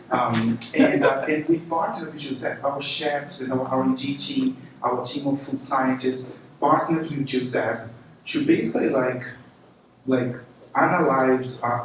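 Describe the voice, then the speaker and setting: A man's voice: medium pitch, slight background noise. Brazilian-accented man in an echoey hall. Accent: Brazilian Portuguese. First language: Portuguese. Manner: casual, animated, lots of fillers and restarts. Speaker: conference speaker, marketing chief. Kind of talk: keynote presentation. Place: large room at a live event. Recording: echoey room from a distance.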